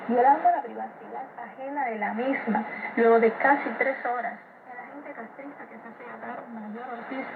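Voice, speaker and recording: A woman with a Cuban accent giving a detailed spoken statement, heard over shortwave radio. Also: fairly high pitch. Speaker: activist giving a recorded statement. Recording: tinny noisy shortwave radio relay. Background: shortwave static.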